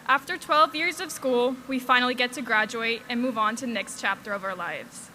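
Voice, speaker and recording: A woman's voice: a little high, normal speed, bright, forceful tone, a little hiss or hum. A young woman from Switzerland giving a valedictorian speech at a graduation, earnest with light humor, on a podium microphone. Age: young adult.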